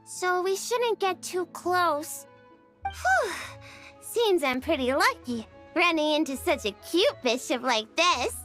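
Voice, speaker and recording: A woman's voice: big pitch swings, slow, soft background music, exaggerated voice. A woman voicing a small, cute, childlike female fairy creature in a video game, cheerful and earnest, with exclamatory delivery. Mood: cheerful, chatty. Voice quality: high, bright, childlike.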